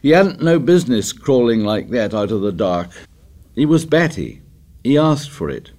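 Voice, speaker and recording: A man voicing an anxious, stubborn boy in an audiobook, shrill and insistent, with uneducated grammar. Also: big pitch swings, moderate pace, darkish tone, close to an everyday voice. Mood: shrill, self-justifying.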